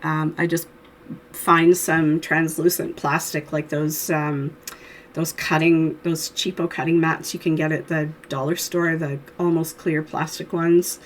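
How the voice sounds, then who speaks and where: A woman with a hoarse voice from a cold, teaching knitting in a chatty, friendly how-to tutorial, with little laughs. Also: deep voice, little pitch movement, a little slow, slight background noise.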